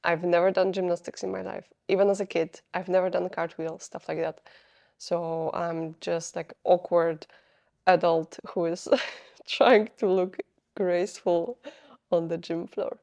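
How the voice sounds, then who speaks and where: Gravelly-voiced Polish-accented woman rambling. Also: fairly low pitch, little pitch movement, a little slow, darkish tone. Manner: rambling, searching for words, self-deprecating. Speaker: vlogger. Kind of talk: unscripted casual vlog talk.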